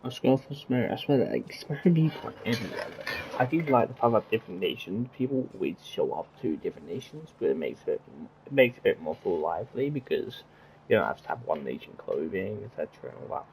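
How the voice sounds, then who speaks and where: A man giving casual gameplay commentary as a streamer, rambling and halting, often repeating himself. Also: fairly low pitch, big pitch swings, creaky, a little mellow, slight background noise, poor audio. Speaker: game streamer.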